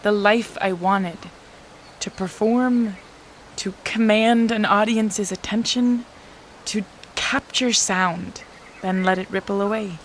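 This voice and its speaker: A passionate teenage girl voiced by a woman in a period audio drama. She sounds wistful and disappointed, dramatic in delivery, at a medium pitch, with faint ambient noise behind her. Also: steady tone, takes it slowly, close to an everyday voice. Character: disappointed, passionate seventeen-year-old girl musician of 1907. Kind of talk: scripted audio drama dialogue.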